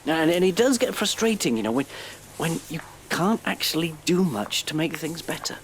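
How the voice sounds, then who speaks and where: An actor playing a well-meaning, educated family doctor with a British accent in an audio play, speaking in a hesitant, articulate, slightly prim way. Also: male voice, a little high, lively intonation, normal speed, slight background noise. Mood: thoughtful. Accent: British English.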